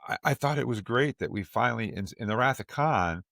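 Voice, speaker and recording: A man's voice: mid-range voice, expressive, brisk, vocal fry, a bit bright, no echo, clean studio sound. A man hosting a podcast, talking casually as an enthusiastic fan and repeating words for emphasis. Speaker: podcast host.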